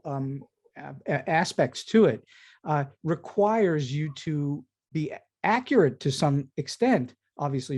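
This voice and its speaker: Mellow, dark-voiced man interviewing. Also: fairly high pitch. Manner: probing, reflective, restates answers at length. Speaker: podcast host, interviewer. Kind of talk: conversational interviewing.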